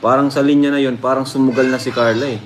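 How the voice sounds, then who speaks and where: A man's voice: medium pitch, flat delivery, quick pace. A man hosting a reaction video, commenting casually to his viewers and repeating phrases for emphasis. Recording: clear background noise.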